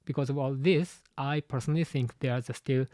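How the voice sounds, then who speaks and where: A man with a Japanese accent, a native Japanese speaker, hosting a live-stream commentary and talking haltingly with many fillers and restarts. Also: medium pitch, steady tone, mellow, dry recording. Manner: halting, full of "you know" fillers and restarts. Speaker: channel host and translator.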